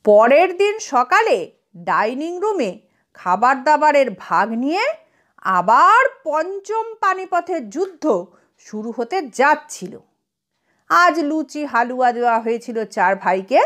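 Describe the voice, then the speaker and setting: A woman narrating a comic story in an expressive, dramatic storytelling manner. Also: sits high, slow pace. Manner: dramatic storytelling, acts out characters' lines.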